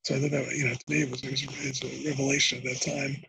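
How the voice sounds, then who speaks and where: An older man with a gravelly voice, speaking as a guest in a podcast interview and explaining his ideas thoughtfully, often restarting his phrases. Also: mid-range voice, monotone, very fast, noisy background. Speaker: interview guest, psychology professor.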